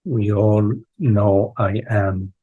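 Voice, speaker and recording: A man leading a guided meditation as a teacher, speaking in halting short phrases with long contemplative pauses and a husky voice. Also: fairly low pitch, fairly even intonation, dry recording, narrow, tinny audio. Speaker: meditation teacher leading a live online session.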